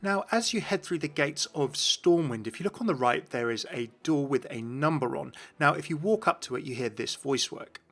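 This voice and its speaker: A man's voice: fairly high pitch, expressive, moderate pace, hardly any pauses, fairly bright tone. A man hosting an explanatory video about game lore, with music behind him.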